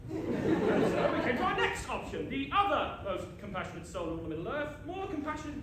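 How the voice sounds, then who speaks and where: A man's voice: very high voice, sing-song, a loud crowd. A man playing a jovial, theatrical old wizard narrating a comic tale on a pantomime stage, drawing out his words and exclaiming. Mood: grand storytelling. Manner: hammy, exclaiming, drawn-out storytelling. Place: live stage before an audience. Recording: very echoey, noisy live theatre recording.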